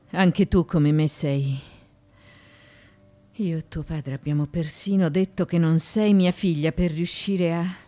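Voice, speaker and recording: An actress playing an anguished, bitter mother in ancient Athens in a radio drama, speaking in intense, halting fragments. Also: deep voice, a little mellow. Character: anguished foreign-born Athenian mother of four, bitter, desperate. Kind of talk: theatrical radio drama monologue and dialogue.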